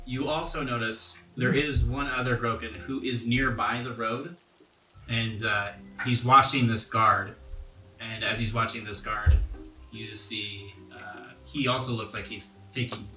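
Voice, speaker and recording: A man's voice: medium pitch, steady tone, unhurried, pushed and bright. A man working as game master, narrating a tabletop game in an explanatory, descriptive way. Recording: roomy echo, faint music underneath. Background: faint background music.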